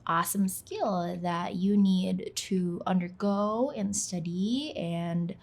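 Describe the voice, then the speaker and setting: A woman's voice: fairly low pitch, slow, vocal fry, a little mellow. A young woman from the Philippines, whose first language is Filipino, talking casually in a voice-over for her channel, chatty and self-deprecating, with plenty of "like.". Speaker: YouTuber, channel host. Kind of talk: casual talk to camera. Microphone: voice-over, not on camera.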